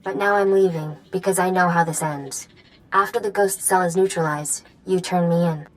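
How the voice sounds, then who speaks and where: Low-voiced, wry rebel informant played by a woman. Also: fairly even intonation, moderate pace, close to an everyday voice, poor audio. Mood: resigned, wary. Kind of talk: video game dialogue acting.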